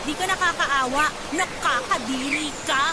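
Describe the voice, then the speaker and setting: An actress with a Filipino accent playing a smug, spiteful young rival in love in a radio drama, taunting and disdainful. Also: female voice, sits high, normal speed, very noisy, close to an everyday voice.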